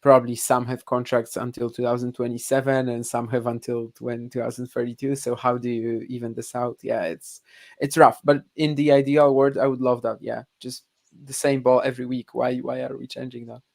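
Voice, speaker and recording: A man's voice: mid-range voice, flat delivery, fairly quick, vocal fry. A man from Poland, co-hosting a casual podcast with hesitant, filler-heavy talk. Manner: hesitant, lots of fillers and restarts, laughs a bit. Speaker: podcast co-host. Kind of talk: casual chat.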